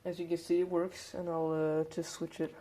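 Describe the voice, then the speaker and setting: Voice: medium pitch, level tone, moderate pace, warm tone. A hobbyist presenter giving a casual hands-on demonstration, explaining things informally step by step.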